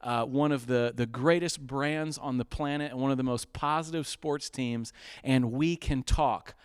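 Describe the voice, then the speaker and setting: A man with a smooth, resonant voice of middling pitch, hosting a charity telethon. He pleads earnestly and brightly for donations, often restarting his sentences and filling pauses with uhs. The sound is close and dry. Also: steady tone, no echo. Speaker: fundraiser host. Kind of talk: telethon appeal.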